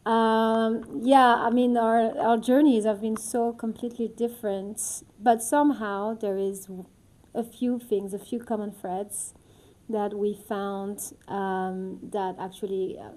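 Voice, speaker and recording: A woman's voice: a little high, level tone, slow, a little mellow, rough recording. A woman from France, a native French speaker with a French accent, chatting casually as co-host of a show. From northeastern France. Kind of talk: casual chat between friends.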